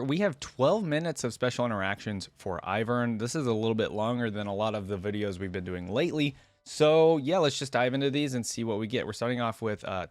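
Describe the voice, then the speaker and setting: A man with a fairly low voice talks through his reactions informally, musing aloud as he goes. The sound is dry and close, with faint music underneath his casual commentary. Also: dry recording. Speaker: host of a reaction channel.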